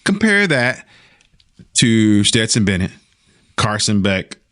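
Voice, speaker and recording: A man's voice: fairly low pitch, very animated, takes it slowly, fairly bright tone, no echo. American man in a dry, close recording. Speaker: sports show co-host. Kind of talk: casual sports commentary.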